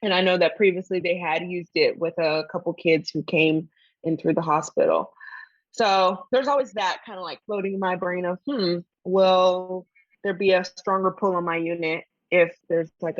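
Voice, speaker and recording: A woman who works in pediatric dialysis, talking thoughtfully with frequent "um" pauses. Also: fairly low pitch, fairly even intonation, no echo, highs cut off. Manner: thoughtful, measured, with frequent "um" hesitations. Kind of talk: casual conversation on a podcast.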